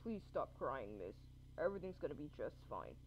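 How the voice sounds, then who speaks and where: A woman's voice: very deep voice, big pitch swings, a little fast, a little hiss or hum, voice pushed down, exaggerated voice. A woman acting out an awkward male police officer in an amateur roleplay drama, hesitant and blunt. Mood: flustered, calming. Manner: hesitant, blunt, full of ums. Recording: muffled lo-fi audio.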